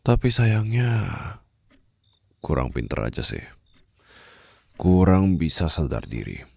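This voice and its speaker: A man acts out a commanding mafia boss with an extremely deep voice, breathy and rough at the edges. He speaks slowly and condescendingly, in the controlled, close style of an ASMR roleplay drama, sometimes sinking toward a whisper. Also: very animated, darkish tone, no room sound, exaggerated voice. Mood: taunting. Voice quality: gravelly, breathy, often dropping to a whisper. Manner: slow, taunting, controlled threats. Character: menacing, condescending young male mafia boss holding a captive.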